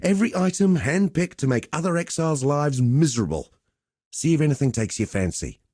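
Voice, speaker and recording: A man's voice: on the high side, lively intonation, fairly quick, dark tone, no room sound, studio quality. A man playing a cocky, easygoing gladiator master in a video game, teasing with wry, conversational swagger. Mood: wry, instructive. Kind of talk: video game character dialogue.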